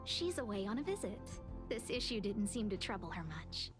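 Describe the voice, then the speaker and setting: An actress playing a composed, formal and thoughtful station officer in a video game, speaking politely. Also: female voice, mid-range voice, big pitch swings, close to an everyday voice. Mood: composed, serious. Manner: polite, measured. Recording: music under the voice.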